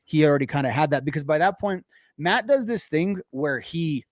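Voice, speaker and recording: A man co-hosting a casual music review show, talking haltingly and searching for words. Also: on the high side, lively intonation, no echo, clean studio sound. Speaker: podcast co-host.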